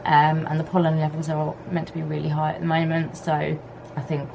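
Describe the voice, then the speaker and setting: A woman chatting casually to camera at home with a husky, hoarse voice, rambling in an easygoing way. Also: low voice, monotone, normal speed. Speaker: vlogger. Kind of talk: casual vlog chat to camera. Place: home on a hot day, fan running. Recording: faint background hum of fan. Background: fan and pressure washer outside.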